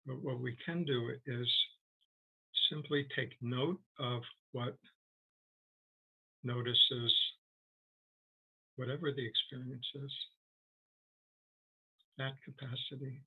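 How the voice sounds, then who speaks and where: A man leading a guided meditation as a teacher, speaking gently and haltingly with long, unhurried pauses. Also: medium pitch, fairly even intonation, breathy edge, narrow, tinny audio.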